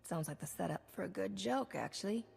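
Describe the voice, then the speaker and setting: Mid-pitched female voice, cocky game smuggler, wry, casual. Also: animated, a little fast, vocal fry, a little mellow, faint music behind. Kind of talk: video game voice acting.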